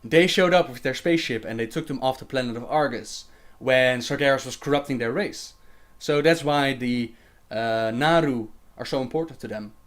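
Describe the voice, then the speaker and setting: A man's voice: medium pitch, moderate pace. A young man hosting a casual livestream Q&A, reading viewer questions aloud and answering them chattily with fillers and laughs.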